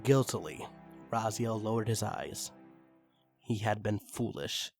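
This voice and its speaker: A man's voice: on the low side, takes it slowly, a little mellow. A man reading dramatic fiction aloud as a scripted narrator. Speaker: narrator and story reader. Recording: background music under the reading. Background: music.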